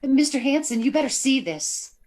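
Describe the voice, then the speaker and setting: A woman's voice: high-pitched, animated, brisk, a little mellow. A woman playing a concerned, attentive control-room assistant in radio drama style.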